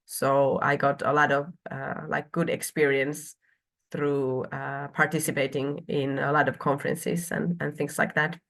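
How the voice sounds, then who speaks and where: A woman's voice: extremely low pitch, flat delivery, measured pace, gravelly, fairly bright tone. A woman from Finland in her thirties presenting a university program online, with frequent hesitations and filler sounds.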